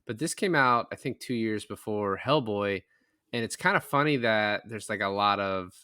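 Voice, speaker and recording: Male co-host, lowish bright voice, close dry sound, casual film talk. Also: moderate pace, clear, resonant tone, no room sound. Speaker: podcast co-host.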